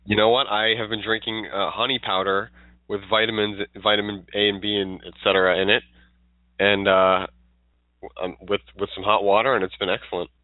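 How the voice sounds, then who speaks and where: Congested male podcast co-host, lowish voice, tinny dry phone-like audio. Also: level tone, brisk, no echo. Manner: rambling, loose with restarts. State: stuffed up, congested. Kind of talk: casual sports podcast chat.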